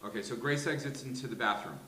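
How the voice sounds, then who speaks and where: A man's voice: mid-range voice, fast talker, a bit bright. A man reading stage directions as scripted narration at a live table read, in a roomy space with hiss.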